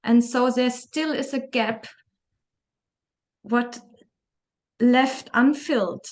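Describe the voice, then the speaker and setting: A woman's voice: on the high side, slow pace. A woman interviewing a guest, speaking thoughtfully and searching for her words. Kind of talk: reflective conversational interview.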